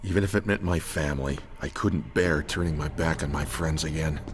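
A man's voice: very deep voice, steady tone, brisk, noisy background. An actor playing a burly, loyal, remorseful policeman in a horror video game, intense and heartfelt. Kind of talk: dramatic video game acting.

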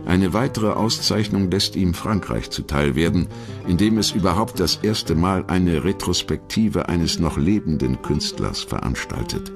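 A man reading a scripted documentary narration in a measured, formal storytelling manner. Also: deep voice, lively intonation. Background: background music.

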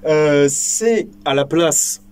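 A young man hosting a casual vlog from a small indoor shooting booth, joking, swearing freely and filling pauses with lots of ums. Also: a little high, a little mellow, slight background noise. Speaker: firearms video host.

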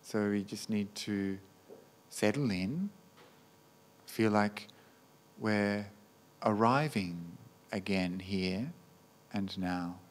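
A man guiding a meditation as a teacher, speaking gently in short, unhurried phrases with long pauses. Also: lowish voice. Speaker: meditation teacher, Buddhist monk. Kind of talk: guided meditation.

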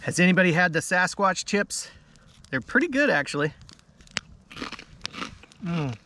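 Man, 30s, unhurried outdoor vlogger, slightly high voice, relaxed chatty delivery. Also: slight background noise. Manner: relaxed, savoring food, chatty asides to viewers. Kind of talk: casual chat to camera. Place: outdoors at a snowy winter campsite at night.

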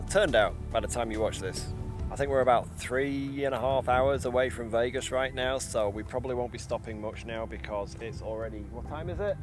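Man in his 30s, loose rambling travel-vlog delivery to camera, outdoors in the wind, music underneath. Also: mid-range voice. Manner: chatty, rambling, with little laughs. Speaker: vlogger. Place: outdoors in a windy open field.

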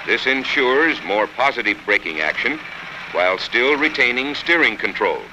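An American man reading scripted instructional narration for a training film in a measured, instructive manner. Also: mid-range voice, expressive. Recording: 1960s film soundtrack, faint noise.

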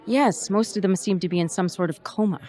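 An actress playing a weary but dutiful emergency management officer giving a formal radio interview. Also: female voice, mid-range voice, a little fast, warm tone, faint music behind, lightly acted. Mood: measured, official.

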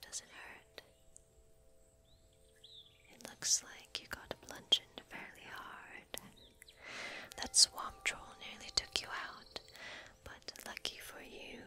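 A young woman whispers close to the mic as a kind forest witch in an ASMR fantasy roleplay. The whisper is breathy and very bright, with sharp detail on every consonant. She takes her time, telling things slowly and deliberately. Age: young adult. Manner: slow, soft, deliberate storytelling as a kind witch. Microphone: close microphone.

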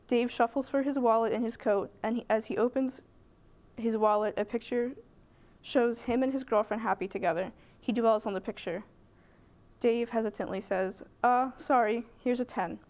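A woman's voice: fairly high pitch, darkish tone. A woman giving a scripted dramatic reading of screenplay passages, scene directions and dialogue alike. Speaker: screenplay reader.

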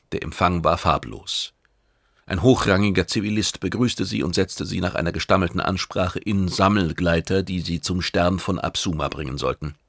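A man's voice: low voice, no echo, clean studio sound. A man reading scripted narration as the narrator of a science fiction audiobook.

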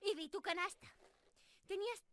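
A woman's voice: extremely high pitch, moderate pace, fairly bright tone. A woman voicing a cute, cheeky young squirrel girl in an ASMR roleplay, playful and pleading as she talks straight to the listener. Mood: excited, playful. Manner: playful, genki, pleading and apologetic.

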